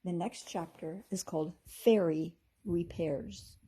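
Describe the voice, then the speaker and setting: A woman with a husky voice reading a children's story aloud expressively, like a teacher to her class. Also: fairly low pitch, slow, dark tone.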